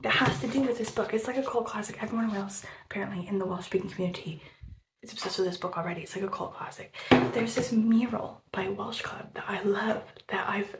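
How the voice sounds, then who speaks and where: A woman chatting casually about a book on her vlog. She rambles with many fillers, swears freely and often drops into a breathy half-whisper. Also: mid-range voice, a little fast. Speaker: book vlogger. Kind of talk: casual book review chat. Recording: roomy room echo, faint background noise.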